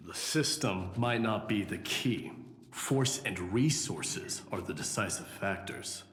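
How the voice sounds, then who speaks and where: An actor voicing a frank, genial warrior leader in a video game, casual and unhurried. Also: male voice, lowish voice, fairly bright tone. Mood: relaxed, frank. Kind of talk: game character dialogue. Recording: echoey long reverb, music behind.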